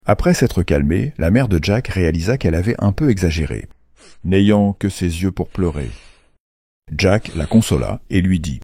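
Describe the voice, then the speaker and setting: A man's voice: low voice, lively intonation, normal speed, warm tone, slightly rough, dry recording, studio quality. A man narrating a children's fairy tale from a script, as a storyteller.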